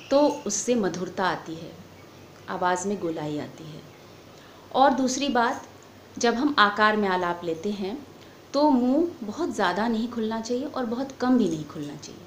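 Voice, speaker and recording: A woman giving a formal, measured lecture as a singing teacher. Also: mid-range voice, animated. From India. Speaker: music lecturer, vocal teacher. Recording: echoey room with faint background noise.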